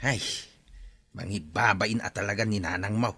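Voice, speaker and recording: A man's voice: lowish voice, normal speed, bright, forceful tone, breathy edge, heavily acted. A man playing a weary older farming father who chides and reasons in a radio drama. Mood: disapproving. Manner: chiding, weary. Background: music.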